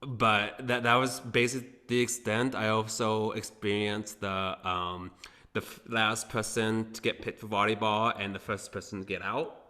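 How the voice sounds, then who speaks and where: A young man with a very low yet bright, forceful voice. He talks hesitantly, pausing on "um", and the clubhouse adds a long, ringing reverb. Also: flat delivery. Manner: hesitant, many "um" pauses. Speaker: guest. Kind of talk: casual conversational interview. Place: apartment clubhouse. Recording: echoey room with long reverb.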